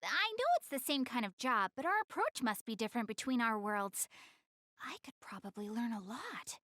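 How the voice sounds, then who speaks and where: A woman's voice: fairly high pitch, normal speed, no room sound, studio quality. A woman voicing a proud, easily flustered young goddess girl in an anime game dub, speaking expressively and directly to the player. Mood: casual, curious. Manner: expressive, hesitant when covering up embarrassment.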